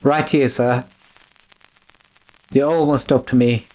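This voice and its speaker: A man's voice: mid-range voice, fairly even intonation, slow pace, noisy background, close to an everyday voice. Man playing a polite, uneasy manservant. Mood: deferential. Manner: polite, hesitant. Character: deferential, uneasy manservant following his master. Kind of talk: old-time radio drama acting.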